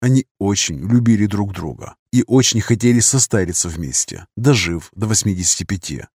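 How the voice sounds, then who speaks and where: A man reading a novel aloud as narrator, in an even, measured storytelling voice. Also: fairly low pitch, breathy edge, no echo, clean studio sound. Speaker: audiobook narrator.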